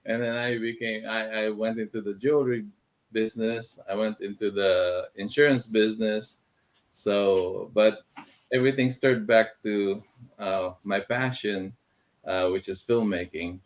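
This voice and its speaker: A middle-aged man from the Philippines, a guest in a casual interview, talking with many pauses and fillers and slipping Tagalog words into his English. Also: on the low side, fairly even intonation. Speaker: guest, filmmaker.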